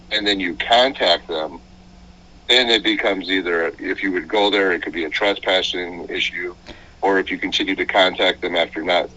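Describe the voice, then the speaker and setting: A man working as a probation officer, explaining procedure on a conference call in a halting way and checking that he is understood. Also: deep voice, pushed and bright. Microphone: recorded phone call. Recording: dull, lo-fi recorded conference call.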